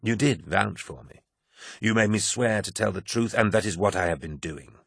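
Man reading as a mouthy defiant poet, sarcastic, deep husky voice, dry sound. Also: dry recording. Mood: sardonic. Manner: sardonic and defiant, deliberate delivery. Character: defiant, bitter male poet, nervous but sharp-tongued.

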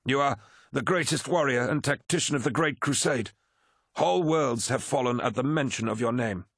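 An eager-to-please warrior adviser, put on by a male audiobook reader, flattering and deferential, lifted above the narrator's register. The sound is dry and close. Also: mid-range voice, normal speed, creaky, slightly rough, no room sound, pitched above the performer's own voice. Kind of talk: dramatic audiobook character acting.

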